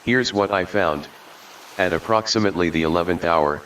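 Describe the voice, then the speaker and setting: A calm male AI assistant, voiced by a man, very deep and delivering information in a flat, measured way. The voice sits far from the mic, with a faint hiss behind it. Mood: matter-of-fact.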